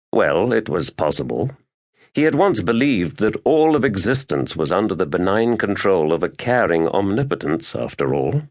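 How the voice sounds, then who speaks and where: A man narrating an epic fantasy audiobook in a grave, measured, dramatic storytelling manner. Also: low voice.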